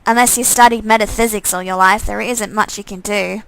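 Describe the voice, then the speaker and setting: A woman's voice: mid-range voice, fairly even intonation, a little fast, creaky, a bit bright, smooth, dry recording, rough recording. A woman voicing an easygoing young man in a visual novel, with a casual, offhand manner.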